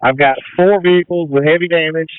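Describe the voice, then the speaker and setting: A man's voice: on the high side, steady tone, brisk, clean tone, dry recording. A man acting as a fire incident commander, giving matter-of-fact, procedural orders over a two-way emergency radio. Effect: two-way radio transmission. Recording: tinny narrowband fire radio channel.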